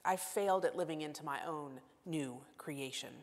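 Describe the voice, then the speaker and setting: A woman in her forties giving a sermon as guest preacher, earnest and self-deprecating, moving between emphatic lines and hushed asides. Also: sits low, normal speed. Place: church sanctuary. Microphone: lapel mic. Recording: long church-hall reverb.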